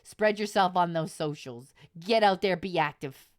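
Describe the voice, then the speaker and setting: Low voiced lady streamer just chatting, informal, repeats herself to make the point, close dry mic. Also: normal speed, dry recording. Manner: informal, repeats points for emphasis, occasional swearing. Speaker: VTuber streamer giving advice to viewers. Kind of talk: casual livestream chat.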